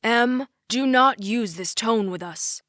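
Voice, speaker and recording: A woman's voice: mid-range voice, normal speed, no echo, studio quality, natural voice. A woman voicing a disapproving, awkward, imposing father in an audiobook, admonishing with emphasis. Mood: annoyed. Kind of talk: audiobook character dialogue.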